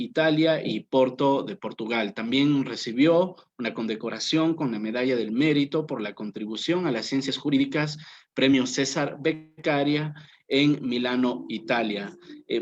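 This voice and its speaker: A man moderating a seminar, reading a speaker's introduction with many filler pauses, then welcoming her. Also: medium pitch. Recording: dull lo-fi audio.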